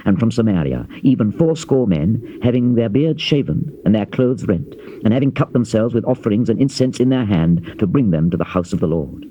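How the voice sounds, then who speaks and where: Male scripture narrator, mellow and expressive, solemn measured storytelling, on a dull old cassette. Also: on the low side. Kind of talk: dramatized King James Bible reading, scripted narration. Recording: dull, hissy old cassette tape transfer.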